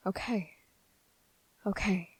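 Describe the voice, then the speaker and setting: A woman voicing a nervous, earnest, gentle young man in an ASMR roleplay, speaking haltingly and softly, often slipping into a whisper. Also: mid-range voice, steady tone, no echo. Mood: nervous, hesitant. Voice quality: soft, breathy, slipping into whisper. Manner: halting, hesitant, often pausing mid-sentence. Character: nervous, earnest, gentle young male trainee confessing his feelings. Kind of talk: ASMR roleplay addressed to the listener.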